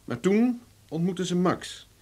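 An actor playing a worldly, blunt investigator in a Dutch radio drama, questioning deliberately and insistently. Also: male voice, high-pitched, animated, darkish tone, heavily acted. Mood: pressing, insistent.

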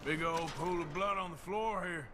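An actor playing a gruff, skeptical male outlaw in a Western video game, terse and dryly sardonic. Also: sits high, normal speed, background noise, natural voice. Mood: matter-of-fact. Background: game music.

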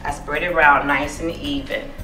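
A middle-aged woman, a cookbook author, demonstrating a recipe in her home kitchen, explaining each step in a warm, encouraging way. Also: deep voice, level tone, normal speed. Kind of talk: cooking demonstration. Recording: echoey kitchen with music behind.

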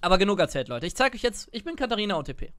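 A man's voice: high voice, very animated, racing, fairly bright tone, dry recording. A man in his twenties chatting casually to viewers in a rambling way as he comments on his gameplay, close to the mic. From born Italian. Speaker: gaming streamer. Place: indoor room at a gaming setup. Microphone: close desk microphone, wearing a headset.

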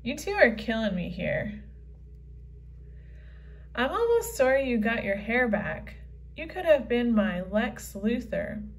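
A woman's voice: on the high side, takes it slowly, pauses often, exaggerated voice. A woman voicing an imaginative, quirky nine-year-old girl in a children's comic read-aloud. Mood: musing. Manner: earnest, excitable kid delivery.

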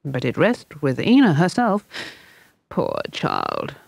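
A woman voicing a grave, puzzled male doctor who muses slowly with hmms. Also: sits low, huge pitch swings, gravelly, darkish tone, husky, no echo, heavily acted. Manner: slow, musing, hesitant.

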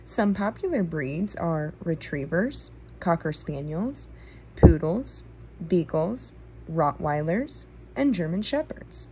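A woman reading a children's nonfiction book aloud, phrasing it clearly for young listeners, recorded indoors on a handheld phone. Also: fairly low pitch, expressive, slow, darkish tone, slight background noise, rough recording.

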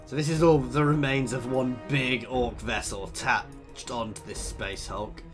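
A man in his thirties commentating a strategy game, husky and tired from a cold, chatty and thinking aloud with lots of ums. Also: medium pitch, steady tone, measured pace. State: hoarse with a cold. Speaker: gaming video host. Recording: voice over game music.

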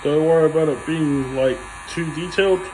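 A man's voice: on the high side, normal speed, noisy background. Young male barber explaining a haircut. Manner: relaxed, plain instructions with frequent ums. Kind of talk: hands-on haircut tutorial.

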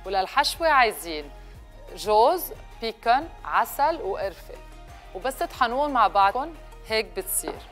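A woman's voice: on the high side, very animated, normal speed, fairly bright tone. A woman in her thirties with a Lebanese accent, presenting a recipe to camera and mixing in some English words. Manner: mixes English words into speech. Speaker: cooking show host. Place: kitchen set, indoors. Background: music.

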